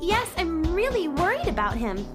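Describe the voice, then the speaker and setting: A polite, earnest little girl on a space adventure. The voice is extremely high and lively, with music behind it, in the manner of a children's radio play. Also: moderate pace. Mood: worried. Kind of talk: children's radio drama acting.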